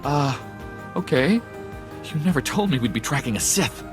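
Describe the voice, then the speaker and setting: A man's voice: mid-range voice, animated, moderate pace, music in the background, lightly acted. An actor voicing an anxious, deferential male technician in a video game, sounding nervous and flustered.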